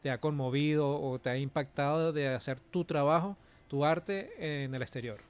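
Radio host guy, smooth clear voice, a bit high, announcer vibe. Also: normal speed. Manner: announcer-like, polished. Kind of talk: radio news interview.

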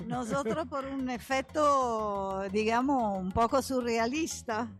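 An older woman with an Italian accent gives a radio interview as a guest. Her voice sits fairly high and she speaks very slowly, searching for words in a language that is not her first. Music plays softly under her voice. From Italy. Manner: halting non-native phrasing, searching for words. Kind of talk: political conversation in a radio interview.

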